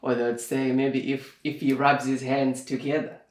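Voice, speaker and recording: A young man from Zimbabwe, a guest in a casual interview, speaking slowly and thoughtfully, with plenty of fillers. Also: mid-range voice, flat delivery. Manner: slow and reflective, with many fillers. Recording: echoey room.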